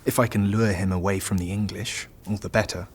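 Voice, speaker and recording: A man playing a calculating, patient French king in a historical audio drama, with a measured, condescending manner. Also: sits low, steady tone, darkish tone, slightly rough.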